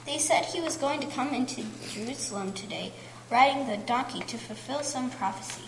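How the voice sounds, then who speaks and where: A woman playing an excitable, gossipy villager in an amateur church drama, emphatic and conversational, in a noisy, echoing hall. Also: high voice, a little fast. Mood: eager, amazed. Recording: echoey hall with background noise.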